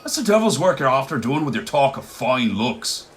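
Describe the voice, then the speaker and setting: An actor playing a gruff, bossy Irish village blacksmith in a radio play, speaking in a scolding, emphatic way. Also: male voice, medium pitch, very animated, moderate pace, soft background music, natural voice. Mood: indignant. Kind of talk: radio play acting of rural Irish dialect.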